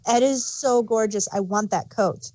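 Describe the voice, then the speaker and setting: A woman's voice: on the high side, moderate pace, warm tone, no room sound. A woman talking casually and conversationally as a podcast host. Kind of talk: casual chat.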